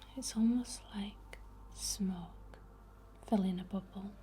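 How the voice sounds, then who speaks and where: A young woman whispering breathily and gently as she guides an ASMR meditation in an informal, unhurried way. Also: mid-range voice, fairly even intonation. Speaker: ASMR host guiding a healing session.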